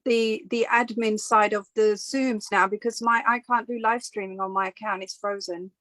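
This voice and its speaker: A woman's voice: medium pitch, steady tone, fairly bright tone, no room sound. Flustered woman on a dry call. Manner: flustered, halting. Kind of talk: video call chat.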